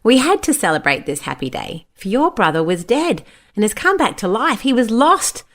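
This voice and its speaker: A woman's voice: fairly high pitch, sing-song, normal speed, fairly bright tone. A woman narrating a scripted children's Bible story and acting out the quoted speech. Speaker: storyteller, a mother.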